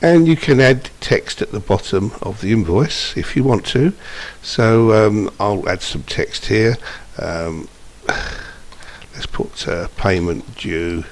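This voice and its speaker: A man narrating an informal software demonstration, explaining step by step in a slightly hesitant, unscripted way. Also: on the low side, slow. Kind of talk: informal screencast tutorial narration. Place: indoors, voice-over for screen recording. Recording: steady background hiss.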